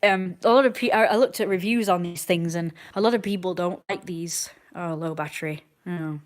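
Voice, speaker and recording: A woman's voice: on the low side, moderate pace. A woman in her twenties chatting casually on a live stream, rambling and answering viewers' comments as they come in. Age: 20s.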